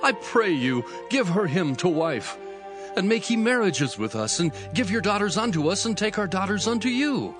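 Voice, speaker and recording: A man's voice: sits high, big pitch swings, moderate pace. An actor playing an older male chieftain pleading persuasively in a dramatized scripture reading. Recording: music bed behind voice.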